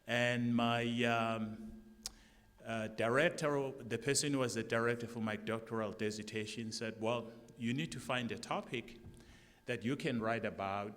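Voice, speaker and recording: A middle-aged man with a South African accent, speaking haltingly at a formal forum as a candidate for college president. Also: on the low side, fairly bright tone. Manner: halting, with many restarts. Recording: long hall reverb.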